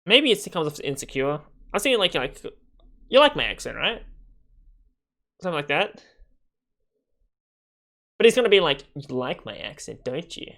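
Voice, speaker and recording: A man's voice: on the high side, big pitch swings, normal speed, vocal fry, pauses often, bright, forceful tone. A man giving casual livestream gaming commentary in a slangy, sweary, bantering way. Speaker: livestream gamer.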